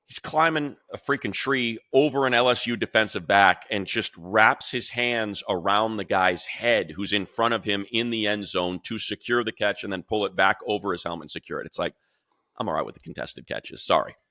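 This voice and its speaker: Deep-voiced, forceful sports radio man. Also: normal speed, dry recording, clean studio sound. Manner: energetic, rambling, mimics fans in a high voice. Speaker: radio play-by-play announcer co-hosting. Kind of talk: animated sports talk.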